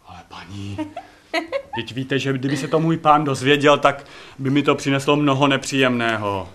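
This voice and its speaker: A man's voice: mid-range voice, fairly bright tone, lightly acted. A man playing a clever, cheeky male slave in a comic stage play, pleading with mock servility and impudence. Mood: sly, obliging. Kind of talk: live comic stage acting. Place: theatre stage, recorded from far off. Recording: distant echoey stage recording, noisy.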